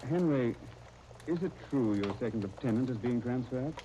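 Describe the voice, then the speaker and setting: An actor in a 1930s radio drama playing an earnest, entreating young lieutenant, on a noisy old broadcast disc. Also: male voice, mid-range voice, animated, moderate pace, dark tone, natural voice. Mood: pleading. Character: eager young British lieutenant, pleading younger brother.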